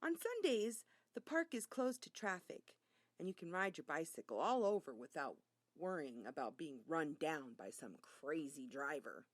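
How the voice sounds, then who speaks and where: A woman reading a children's story aloud as a narrator, in an expressive storytelling way. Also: low-pitched, moderate pace, no echo, studio quality. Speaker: reader.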